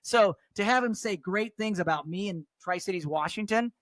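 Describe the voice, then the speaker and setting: A middle-aged man, a radio host, chatting casually and telling stories with a higher voice and lots of restarts. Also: moderate pace, fairly bright tone, no room sound. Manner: chatty, storytelling, frequent restarts. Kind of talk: casual chat.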